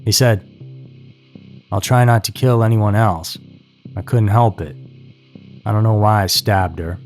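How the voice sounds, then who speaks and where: A man's voice: fairly low pitch, steady tone, measured pace. A man reading scripted true-crime narration as a narrator. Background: music.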